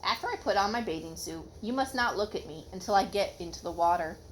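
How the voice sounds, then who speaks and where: A woman's voice: mid-range voice, expressive, fast, a little hiss or hum, natural voice, rough recording. A woman voicing a fussy, self-conscious male toad from a children's storybook, plaintive and emphatic.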